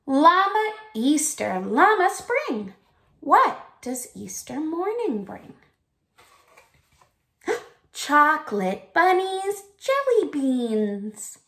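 A woman in her thirties, speaking deliberately as a teacher presenting read-alouds and crafts for children, recorded in a small room at home. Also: sits high, sing-song, a bit bright.

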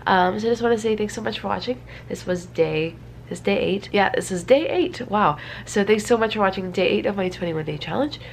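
A woman's voice: fairly low pitch, gravelly. A woman in her twenties with a stuffy, congested nose, chatting casually and in a rambling, self-deprecating way to camera. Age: 20s. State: congested from a cold. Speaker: vlogger. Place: small bedroom indoors. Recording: faint background room noise.

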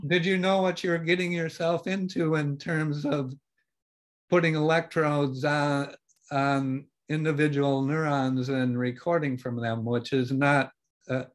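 Slow, halting older male podcast host. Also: on the high side. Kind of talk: interview questions. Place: home study with bookshelves. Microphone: video call.

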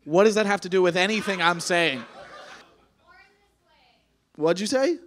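A male comedian in his thirties works the crowd from a club stage. His voice sits high for a man. He talks into a handheld mic in a brash, teasing, foul-mouthed way. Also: expressive, a little slow, a bit bright, slight background noise. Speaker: stand-up comedian. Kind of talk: stand-up crowd work. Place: comedy club stage before a seated audience. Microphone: handheld microphone.

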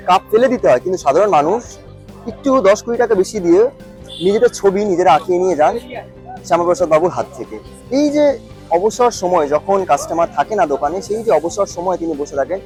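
A man's voice: high voice, a little mellow. A man giving an on-location news report, explaining with emphatic repetition.

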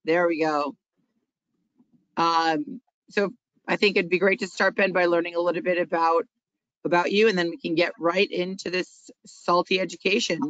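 A woman hosting and introducing a webinar over a video call, friendly and brisk. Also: fairly low pitch, fairly even intonation, fairly bright tone, dry recording. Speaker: webinar host. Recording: dry, slightly dull video-call audio.

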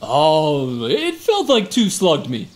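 Casting: self-important bumbling security guard for radio comedy, slow-talking with hugely animated intonation. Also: male voice, on the high side, heavily acted. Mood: bumbling bravado. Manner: bragging, exaggerated, bumbling. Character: boastful, dim, attention-hungry male security guard. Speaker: temple guard witness. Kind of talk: comic radio play.

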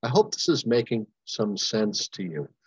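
An older man, a pastor leading a Bible study over a video call, preaching with emphatic, impassioned delivery and long pauses. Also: fairly low pitch, sing-song, husky, no room sound. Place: home room, indoors. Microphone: webcam on a video call. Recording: dull lo-fi webcam audio, dry.

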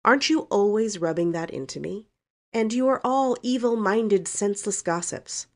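A woman voicing a brazen, sharp-tongued young woman in a storybook, speaking boldly and emphatically. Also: mid-range voice, animated, moderate pace, voice pushed up, lightly acted. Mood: defiant, scornful. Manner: bold, emphatic.

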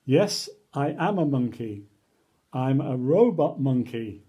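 A goofy robot monkey from a storybook, put on by a man, cheerfully absurd. The voice is mellow and slow, with lively swings of pitch and a deliberate delivery. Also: breathy edge. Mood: silly, declaring.

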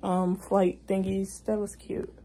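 A woman's voice: medium pitch, vocal fry. A young woman in her twenties chatting casually to her phone camera, rambling with fillers. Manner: slow, relaxed, rambling with fillers. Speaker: vlogger. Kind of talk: casual vlog chat. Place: small cabin rental indoors. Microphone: phone held at arm's length.

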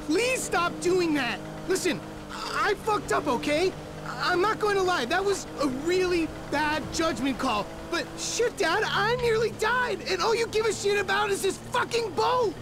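An actor playing a whiny young American slacker son in a video game, slangy and defensive. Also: male voice, extremely high pitch, measured pace. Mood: aggrieved. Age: young adult. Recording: clear vehicle noise behind.

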